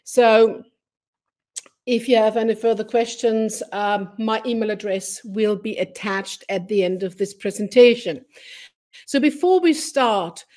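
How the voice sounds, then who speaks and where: A woman's voice: medium pitch, a little slow, darkish tone. A middle-aged woman, a teacher educator, presenting a webinar from her computer mic in a friendly, explanatory way with fillers. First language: English.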